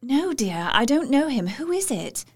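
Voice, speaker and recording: Casting: tender, anxious young English wife, fairly high voice, earnest diary narration for radio drama. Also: female voice, big pitch swings, measured pace, heavily acted. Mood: uneasy.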